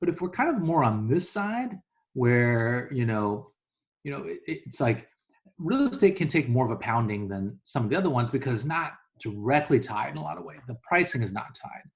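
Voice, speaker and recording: A man's voice: mid-range voice, sing-song, moderate pace, vocal fry. Man explaining conversationally as interview guest. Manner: conversational, illustrates points with hypothetical quoted thoughts. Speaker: interview guest, real estate investor. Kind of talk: casual explanatory interview talk.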